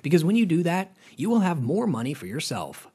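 Lively husky American male narrator. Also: on the high side, fairly quick. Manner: chatty, addresses viewers as guys, lively rises. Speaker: narrator of a finance explainer channel. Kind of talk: scripted voice-over narration.

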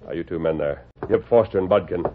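A man as commanding low-voiced cowboy hero, tinny old radio. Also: fast talker, close to an everyday voice. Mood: firm, composed. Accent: American. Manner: firm, commanding, clearly spoken. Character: commanding, upright masked cowboy hero, calm and authoritative. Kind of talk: 1940s radio western acting. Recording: tinny, noisy vintage radio recording.

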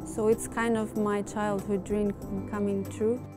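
A woman's voice: medium pitch, little pitch movement, measured pace. A young woman from Albania, a master's student, speaking earnestly in an interview with small restarts. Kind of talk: interview testimonial. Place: university campus indoors. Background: background music.

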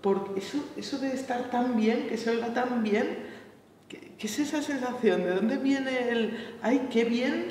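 A woman's voice: a little high, measured pace, darkish tone, a little hiss or hum. Woman, 30s, hesitant reflective seminar talk; distant mic, roomy echo. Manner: hesitant, thinking aloud, frequent tag questions. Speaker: choir workshop leader. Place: large bright seminar room. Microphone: far camera mic. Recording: echoey room from far off.

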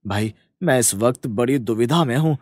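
A man's voice: mid-range voice, moderate pace, darkish tone, dry recording, clean studio sound, lightly acted. Man voicing a humble, troubled village father. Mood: apologetic, troubled. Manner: apologetic, weary.

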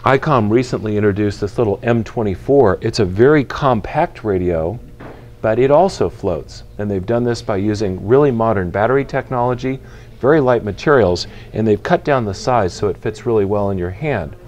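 A man in his fifties talks straight to camera in a big retail store, explaining equipment clearly and practically. His voice sits on the low side and comes through a lapel mic. A faint hum of the shop floor lingers underneath. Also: a little mellow. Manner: clear, measured, practical explaining with hand gestures. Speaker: retail company spokesperson presenting boating gear. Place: large indoor retail store aisle.

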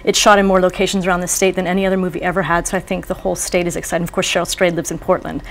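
A woman's voice: lowish voice, little pitch movement, rapid-fire, a little hiss or hum. A woman in her thirties or forties giving conversational answers as a casting director in a studio interview.

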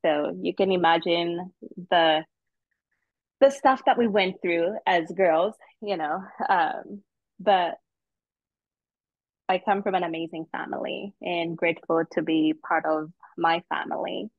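A middle-aged woman from Zambia, a guest telling her personal story in an unhurried, earnest way with many ums. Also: fairly low pitch, dry recording, narrow, tinny audio. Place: outdoors on a footbridge.

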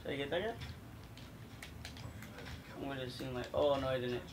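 A man with a voice worn from yelling, giving quick calls and swearing while playing a co-op shooter. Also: medium pitch. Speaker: co-op gaming partner. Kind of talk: casual gaming chat. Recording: noisy room recording.

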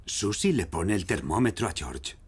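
A man's voice: low-pitched, sing-song, moderate pace, breathy edge. A man with a Castilian Spanish accent reading scripted narration for a children's cartoon.

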